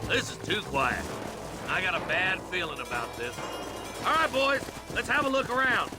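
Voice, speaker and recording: An actor playing a hard-edged, forceful Old West gunman in a video game, with an American accent. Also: high voice, very animated, moderate pace, over music. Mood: grim. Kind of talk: Western video game acting.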